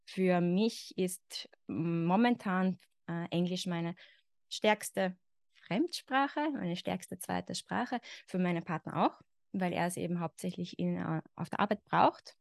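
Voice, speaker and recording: A woman's voice: fairly low pitch, creaky, dry recording, studio quality. A woman in her thirties with an Austrian German accent, a guest expert talking reflectively in a podcast conversation and often saying "genau" and "ja".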